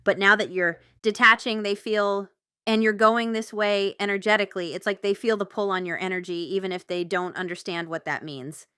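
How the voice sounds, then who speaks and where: A woman's voice: medium pitch, steady tone, moderate pace, pushed and bright, clear, resonant tone, no room sound, clean studio sound. A woman giving a casual, intuitive tarot reading straight to the viewer, chatty and thinking aloud with rambling asides. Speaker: tarot reader and channel host.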